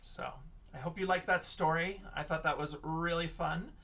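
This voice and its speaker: A man teacher reading a picture book aloud and chatting to children, expressive, often dropping into hushed whispers. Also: on the high side, moderate pace, gravelly, recorded from a distance.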